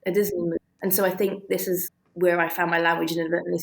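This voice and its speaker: A woman answering as an interview guest, thinking aloud in a halting, reflective, conversational way. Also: lowish voice, level tone, gravelly.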